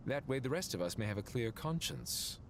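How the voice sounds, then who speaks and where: Haughty, animated royal saint. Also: male voice, medium pitch, brisk, gravelly, a little hiss or hum. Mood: smug. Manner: grandiose, formal, insinuating. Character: sly, haughty royal saint debating in council. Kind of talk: theatrical video game acting. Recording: faint game sound behind voice.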